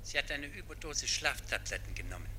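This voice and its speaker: A cautious, grave doctor in a radio crime drama. The voice is piercingly bright with a raspy edge, and he speaks tersely and formally. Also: a little high, lively intonation, fast talker, heavily acted. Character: grave, cautious male doctor reporting by telephone.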